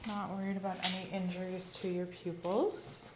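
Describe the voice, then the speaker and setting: Woman, soft-spoken ASMR examiner who drifts into whispers; roomy recording with faint hiss. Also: on the low side, flat delivery, normal speed. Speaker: examiner in a roleplay. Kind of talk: ASMR medical exam roleplay.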